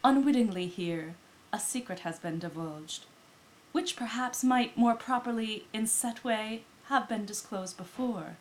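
A woman reading classic literary prose aloud as an audiobook narrator, with deliberate, dramatic phrasing. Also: fairly high pitch, rough recording. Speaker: audiobook reader.

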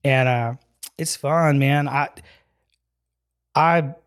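A man in his forties from Wisconsin, a guest telling stories in a relaxed podcast chat, animated and laughing easily, with many filler phrases. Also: a little high, extremely slow, smooth. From Wisconsin, US Midwest. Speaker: guest, ministry program leader. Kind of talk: casual storytelling in a podcast chat.

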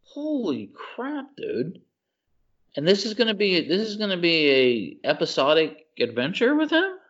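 A man's voice: sits high, sing-song, a little fast, a bit bright, muffled recording. A man co-hosting a casual podcast, talking in an effusive, slangy way and repeating himself for emphasis. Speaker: podcast co-host. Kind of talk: casual chat about video games and movies.

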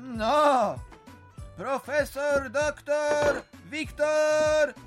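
An actor playing a jovial, tipsy middle-aged husband in an audio drama, teasing and mock-solemn in a theatrical way. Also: male voice, very high voice, flat delivery, drawn-out words, music in the background, heavily acted. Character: jovial tipsy husband and jokester uncle at a party. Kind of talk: Polish audio drama dialogue.

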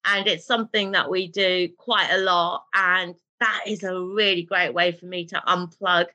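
A woman talks in a casual interview, bright and forceful in tone. She tells lively stories and laughs easily as she goes. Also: lowish voice, normal speed, dull audio. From Britain, raised in a Pakistani family. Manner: animated, storytelling, laughs easily. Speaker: guest, television drama writer.

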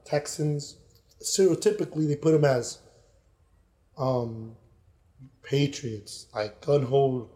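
Man, mellow warm tone, casual podcast chat, rambling, restarts sentences. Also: medium pitch, takes it slowly, pauses often. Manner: rambling, with many restarts and put-on quoted voices. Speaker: podcast co-host. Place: Miami.

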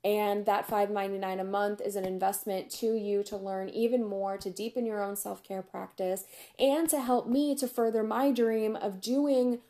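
A woman hosting a casual solo podcast, chatty and upbeat with frequent laughs, her voice going hoarse. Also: medium pitch, flat delivery, normal speed, dark tone. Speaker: podcast host.

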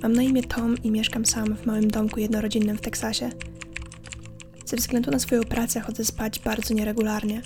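Female horror podcast narrator: slightly high voice, flat measured intonation, hushed suspense. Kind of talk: scripted horror story narration. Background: faint music.

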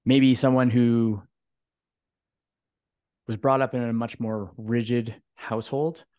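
A man hosting a conversational podcast interview, phrasing his questions in a halting, thoughtful way. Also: mid-range voice, level tone, a little mellow, no room sound.

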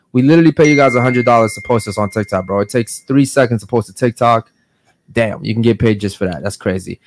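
A man's voice: mid-range voice, no room sound. A man hosting a podcast in a brash, profane, casual way, tacking "man" onto his sentences. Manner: brash, profane, drops "man" and "guys" often. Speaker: podcast host.

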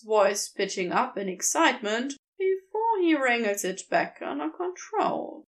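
Slow expressive woman narrating. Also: high voice. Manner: measured expressive storytelling. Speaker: podfic reader. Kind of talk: fanfiction audiobook narration.

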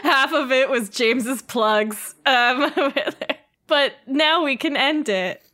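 A woman's voice: high-pitched, slow, bright, forceful tone. A woman co-hosting a comedy podcast, giggly and playful as she jokes along. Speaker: podcast co-host and producer. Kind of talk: casual comedic podcast chat.

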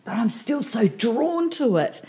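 A woman's voice: on the high side, sing-song, measured pace, darkish tone. A woman co-hosting a talk show, enthusiastic and gushing as she chats. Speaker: podcast co-host. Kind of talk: casual chat.

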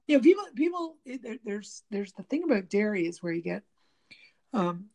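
A woman's voice: medium pitch, sing-song, moderate pace, warm tone. A woman with a slightly nasal voice in casual conversation, rambling with many restarts. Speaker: guest talking about diet and the body.

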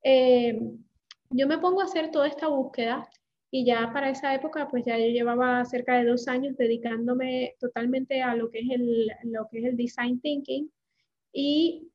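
A woman in her forties with a light Puerto Rican accent, a guest coach talking earnestly in a casual interview, often pausing on fillers. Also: sits high, fairly even intonation, highs cut off. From Puerto Rico. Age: 40s. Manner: earnest, fluent, with many fillers.

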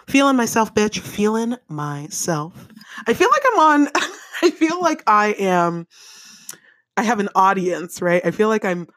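A woman with a deep, raspy voice hosting her own podcast, talking casually, laughing often and swearing freely. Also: very animated, measured pace, a bit bright. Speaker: podcast host. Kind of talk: casual solo podcast monologue. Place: home bedroom. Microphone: microphone on a stand, held in hand.

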